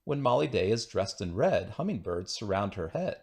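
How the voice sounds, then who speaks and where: A man's voice: lowish voice, very animated, normal speed, creaky. A man reading children's rhyming poems aloud in a playful, singsong, rhythmic way. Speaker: children's book reader.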